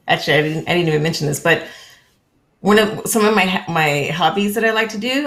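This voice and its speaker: A woman's voice: lowish voice, creaky, fairly bright tone. A woman from California, a guest in a live web interview, talking in a friendly, conversational way with frequent ums and small laughs over a video call.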